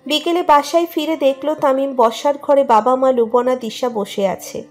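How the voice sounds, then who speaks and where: A woman's voice: high voice, steady tone, moderate pace. A woman reading a scripted romantic story as its narrator. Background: music under the narration.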